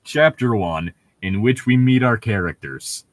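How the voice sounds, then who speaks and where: A man's voice: fairly low pitch, lively intonation, measured pace, no echo. A man hosting a casual comedy read-along, bantering and reading aloud in a wry, joking, conversational way. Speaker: podcast host reading fan fiction aloud.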